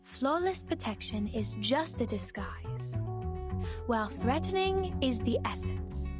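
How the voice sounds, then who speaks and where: An elegant female thief from a game. Her voice is fairly high, composed and dramatic, speaking eloquently over loud music. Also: big pitch swings, slow, full character voice. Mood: composed, theatrical.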